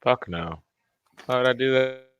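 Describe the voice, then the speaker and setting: A man's voice: mid-range voice, normal speed, a bit bright, clear, resonant tone, no echo, lo-fi sound. A man co-hosting a livestream in casual banter, talking bluntly with slang and swearing. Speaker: livestream co-host.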